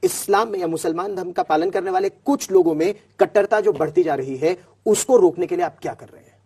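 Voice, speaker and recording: Dark, husky male news interviewer. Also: high-pitched, a little fast. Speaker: interviewer on a panel. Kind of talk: formal, challenging news questioning.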